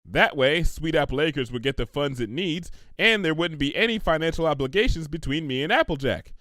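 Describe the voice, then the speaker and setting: A bookish young female cartoon pony, as a man acts her out, prim and earnest. She lectures precisely and matter-of-factly, a little high for a male voice and full of lively inflection. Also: fairly quick, no echo.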